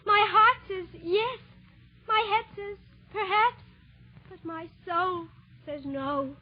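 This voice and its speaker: A woman's voice: extremely high pitch, takes it slowly. A woman playing a sweet, coy young woman on a blind date in a 1940s radio comedy, with a demure manner. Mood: coy, hesitant. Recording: muffled vintage 1940s radio recording.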